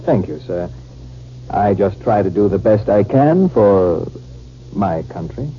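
An actor playing a smooth, duplicitous army captain in a 1950s radio drama. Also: male voice, fairly low pitch, animated, slow pace. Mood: deferential. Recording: muffled, hissy 1950s radio recording.

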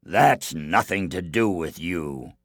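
Fairy-tale giant, acted by a man: menacing, bellowing, heavy slow delivery. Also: low voice, animated, no room sound, studio quality, heavily acted. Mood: gruff. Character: big suspicious man-eating giant, gruff and menacing.

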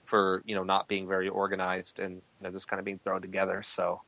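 A man with a lowish, bright voice hosts a casual podcast. He rambles freely with plenty of fillers, and his sound is dry and close. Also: completely flat delivery, a little fast, gravelly, no echo, low-quality recording. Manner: loose, rambling, many fillers. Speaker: podcast host.

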